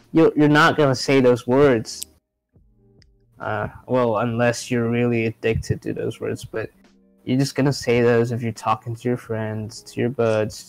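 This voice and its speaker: A young man talks casually on a podcast, rambling through fillers and restarts. His mid-pitched voice has a gravelly edge, recorded dry and close with faint music underneath. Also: no room sound, rough recording. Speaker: podcast co-host. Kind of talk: casual chat.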